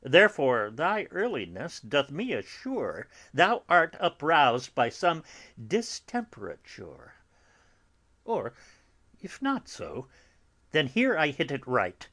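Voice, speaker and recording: An actor playing an elderly, fatherly friar who muses in classical verse with deliberate pauses and sudden swells, gently chiding. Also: a little high, wildly swooping pitch, heavily acted. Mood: fond, chiding. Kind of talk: classical verse drama, theatrical dramatic reading.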